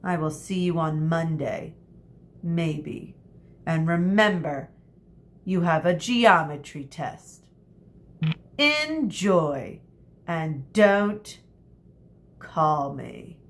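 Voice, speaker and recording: A woman voicing a bossy, weary woman schoolteacher from a storybook, barking scolding orders with drawn-out emphasis. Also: deep voice, expressive, exaggerated voice. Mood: stern warning.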